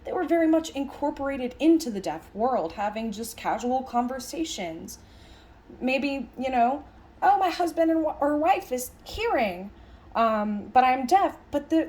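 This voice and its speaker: A woman with a slightly high voice interprets a lecture aloud. She talks conversationally, breaking her thoughts into short, halting phrases and leaning on the key words. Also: very animated, a little mellow. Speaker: sign language interpreter voicing a signed lecture.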